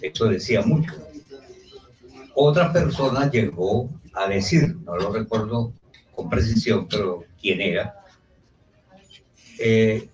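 A man's voice: medium pitch, a little mellow. A man making a formal, hesitant, unscripted comment to a guest as a participant. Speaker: participant questioning the author. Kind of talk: unscripted question. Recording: echoey room, distant mic, music.

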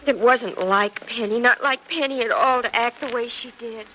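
A woman's voice: on the high side, moderate pace, pushed and bright. An actress playing a sensitive, hurt young expectant mother in an old-time radio serial, speaking in an emotive, plaintive way. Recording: noisy vintage radio drama recording.

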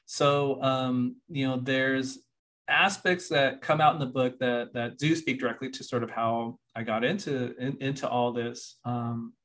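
A man's voice: medium pitch, fairly even intonation, measured pace, a bit bright. A man from California's central coast, a university professor and author, talking in a casual podcast conversation with many fillers and restarts. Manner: rambling, many fillers and restarts, laughs now and then.

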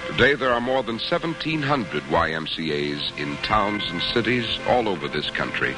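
A man's voice: lowish voice, very animated, moderate pace, rough recording. An American man reading scripted narration as a mid-century radio announcer. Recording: old radio broadcast under loud music. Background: loud music.

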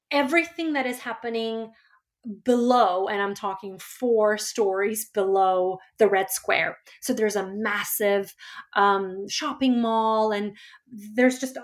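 A woman from Georgia, a first-language Georgian speaker, tells her life story as an author being interviewed, reflective and often pausing on fillers and restarts. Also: on the high side. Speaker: author and guest.

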